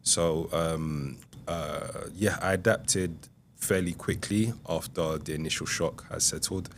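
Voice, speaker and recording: Deep-voiced man telling his life story. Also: fairly even intonation, slow pace, slight background noise. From Republic of Congo. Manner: soft-spoken, hesitant, many ums. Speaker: podcast guest. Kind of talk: reflective interview storytelling.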